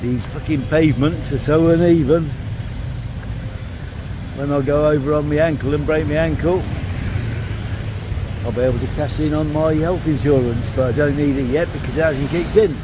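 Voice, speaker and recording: Casting: older man, offhand chatty vlogger, voice a touch high, loud street traffic behind. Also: steady tone, moderate pace, pauses often. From England. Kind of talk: casual walking vlog narration. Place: outdoors on a busy city street. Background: traffic noise.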